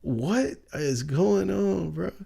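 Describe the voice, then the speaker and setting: A young man chatting casually on a livestream, loose and slangy, laughing often and calling everyone "bro", with a touch of rasp. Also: high voice, expressive, extremely slow, warm tone. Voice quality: raspy at times. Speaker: streamer.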